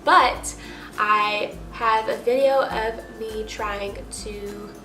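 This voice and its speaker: Casting: young American female vlogger, stuffy nose, emphatic conversational delivery, slightly high register, recorded in a reverberant room. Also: fairly even intonation, very slow, bright, forceful tone. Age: young adult. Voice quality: nasal, congested. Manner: chatty, emphatic, peppered with "like". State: stuffed up with a cold. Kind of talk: casual personal storytime to camera. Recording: roomy echo with music underneath.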